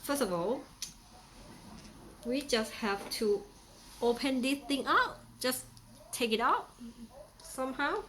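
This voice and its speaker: A woman's voice: high voice, expressive, slow, pauses often, fairly bright tone, slight background noise, low-quality recording. A young woman from Thailand with a Thai accent, chatting playfully through a casual how-to demonstration with many fillers. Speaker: vlogger.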